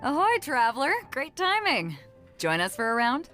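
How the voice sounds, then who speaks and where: An actress playing a bold, breezy sea captain in a video game, hearty and teasing. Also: female voice, high voice, big pitch swings, moderate pace, pushed and bright, heavily acted. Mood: hearty greeting. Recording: music behind the voice.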